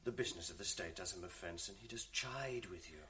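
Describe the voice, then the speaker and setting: An actor playing a scheming ensign in a radio drama of classical verse, soothing one moment and scolding the next. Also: male voice, low voice, fast talker, distant mic, natural voice. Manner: soothing then sharply scolding. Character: scheming male ensign, smooth villain.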